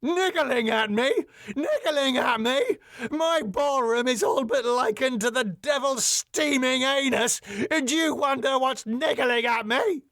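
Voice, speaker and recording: A man's voice: very high voice, slow, no echo, clean studio sound, pitched above the performer's own voice, exaggerated voice. A man voicing a raging, pompous middle-aged ballroom owner who rants in theatrical tirades. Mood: furious ranting. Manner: furious, theatrical, shouting tirades.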